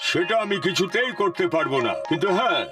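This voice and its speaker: Magical cartoon hat, grand drawn out spells, swingy high pitch. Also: male voice, lively intonation, fast talker, over music. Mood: matter-of-fact. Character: magical talking hat granting powers, sing-song and obliging. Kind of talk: Bengali cartoon dub.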